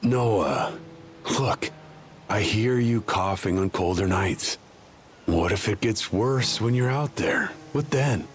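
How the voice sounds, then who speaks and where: A man's voice: fairly low pitch, lively intonation, slow, a little hiss or hum. An actor playing a bitter, protective, hot-tempered middle-aged father in a video game. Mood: worried.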